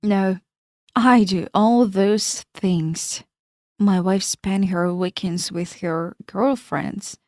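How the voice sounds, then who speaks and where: A woman's voice: mid-range voice, fairly even intonation, extremely slow, a little mellow, no echo, studio quality. Woman reading as a polite well-read married man. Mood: explaining.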